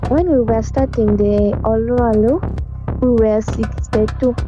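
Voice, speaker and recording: A woman's voice: on the high side, fairly even intonation, a little slow, highs cut off, over music. A woman with a Ugandan accent giving an unscripted interview account as a group member.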